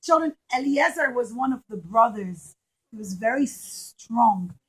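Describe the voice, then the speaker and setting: Female children's storyteller, high voice, emphatic and repetitive delivery for a young audience. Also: animated, unhurried, fairly bright tone, slightly rough, poor audio. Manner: emphatic, repeats phrases for children. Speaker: children's storyteller and puppet show presenter.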